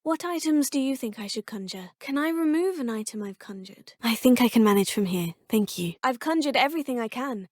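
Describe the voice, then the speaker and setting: A courteous young schoolgirl witch in a fantasy video game, calling her teachers sir and professor. Her voice sits a little high and comes across slightly breathy. It is recorded close and dry, with no room sound. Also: lively intonation, fairly quick, hardly any pauses, dry recording. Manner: polite and formal, addressing teachers as sir and professor. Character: polite young female Hogwarts fifth-year student witch. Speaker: player character. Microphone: close studio mic.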